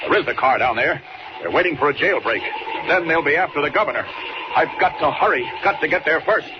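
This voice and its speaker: A man's voice: fairly high pitch, lively intonation, fast, close to an everyday voice. An actor playing a bold, determined superhero who exclaims his own actions aloud in a 1940s radio drama. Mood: urgent, determined. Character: bold, determined adult male flying superhero. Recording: dull, very noisy old radio transcription.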